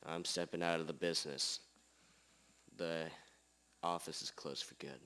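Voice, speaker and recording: A man's voice: a bass voice, steady tone, a little slow, bright, forceful tone, clean tone, heavily acted. A man playing a gloomy, washed-up detective with a short temper in an amateur noir radio drama. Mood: resigned.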